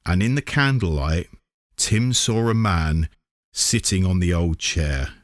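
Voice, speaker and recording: Low-voiced man reading a storybook, unhurried. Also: expressive, dry recording, studio quality. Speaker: reader of a children's book. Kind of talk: scripted storybook narration.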